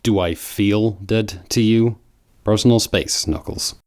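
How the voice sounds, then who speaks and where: A man playing a brooding, serious hedgehog antihero with terse, deadpan lines in a fan audio drama. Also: on the low side, slow pace, no echo, studio quality. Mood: guarded.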